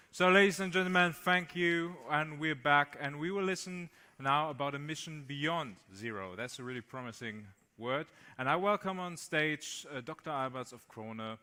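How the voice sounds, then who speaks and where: A man moderating a press event on stage, relaxed and joking as he introduces the speaker. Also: a little high, clean tone. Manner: jokey, relaxed compering.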